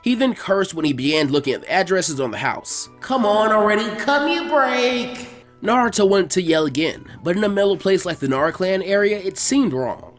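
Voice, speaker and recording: Lively male story narrator over music. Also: high-pitched, a little fast, few pauses. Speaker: fan fiction reader. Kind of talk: expressive amateur story narration.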